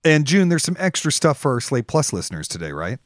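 An excitable, emphatic man hosting a podcast in a conversational style. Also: medium pitch, fast, no echo, clean studio sound. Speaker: podcast host.

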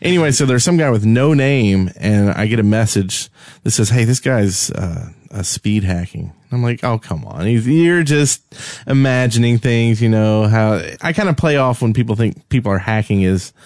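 A man's voice: lowish voice, animated, normal speed, darkish tone, no echo, clean studio sound. A man co-hosting a podcast, casually telling gaming stories in a conversational way and acting out the dialogue as he goes. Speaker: podcast co-host and game server admin.

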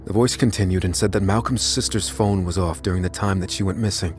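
An actor playing an anxious, unassuming man who narrates his hunt for a killer in an audio drama, measured and confessional. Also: sits low, fairly even intonation, a little mellow, natural voice. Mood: tense, measured. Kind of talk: first-person dramatic narration in an audio thriller. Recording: music under the voice. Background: music.

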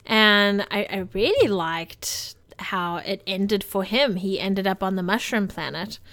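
Clear-voiced woman chatting casually about movies. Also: medium pitch, a little slow, a bit bright, no echo. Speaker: podcast co-host. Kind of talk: casual movie discussion chat.

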